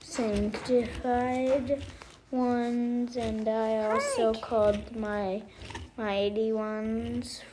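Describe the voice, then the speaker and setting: Young reader, very slow and even-toned, stumbling through scripture, noisy home recording. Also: medium pitch. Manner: stumbles over hard words. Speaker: family member taking a turn reading. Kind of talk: halting scripture reading aloud.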